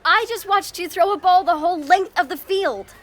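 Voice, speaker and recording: A woman's voice: very high-pitched, normal speed. An eager, obsessive teen girl. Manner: excited and insistent. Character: eager, obsessive teenage history nerd girl. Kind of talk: audio drama acting.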